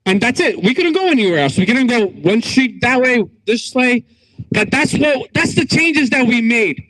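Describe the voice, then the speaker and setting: A man's voice: extremely high pitch, very animated, normal speed, a bit bright, low-quality recording. A man from Bangladesh giving an emphatic outdoor community speech, rallying the crowd with repetition and jokes. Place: outdoors at a street corner.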